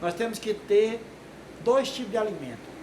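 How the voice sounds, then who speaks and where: A man's voice: high voice, expressive, normal speed. Older man, rambling homemade sermon, noisy background. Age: 60s. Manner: rambling, frequent tag questions. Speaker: lay preacher. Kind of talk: informal video sermon. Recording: echoey room with noise.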